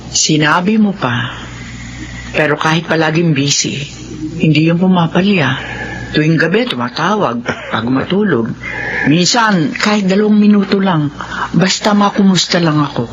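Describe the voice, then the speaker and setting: A woman's voice: fairly low pitch, moderate pace, long pauses, muffled recording, a little hiss or hum, lightly acted. An actress playing an anxious, loving mother in middle age, tender and worried, in a radio drama. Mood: chatty. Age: middle-aged. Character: anxious, loving, lonely mother nearing retirement.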